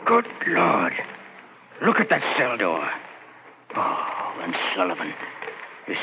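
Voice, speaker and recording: An actor playing a plain-spoken, urgent small-town police sergeant in an old-time radio horror drama. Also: male voice, low voice, wildly swooping pitch, slow pace, heavily acted. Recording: muffled, hissy old radio recording.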